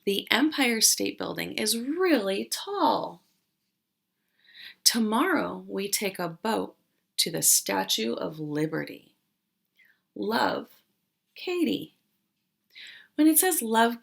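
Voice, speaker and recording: A woman's voice: a little high, creaky, fairly bright tone. A woman speaking as a kindergarten teacher in a read-aloud lesson, explaining things simply to young children and often asking them questions.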